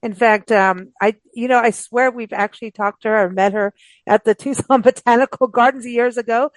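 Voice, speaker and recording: A woman's voice: fairly high pitch, steady tone, moderate pace, no room sound, poor audio. A woman hosting a books show, talking in a casual, rambling, informal way as she interviews guests. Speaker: host of a books radio show.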